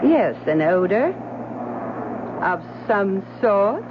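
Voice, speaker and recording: An actress playing a sharp, suspicious wife on a sea voyage in a 1970s radio drama, wry and teasing with her husband. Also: mid-range voice, sing-song, extremely slow. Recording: tinny old radio broadcast, hiss.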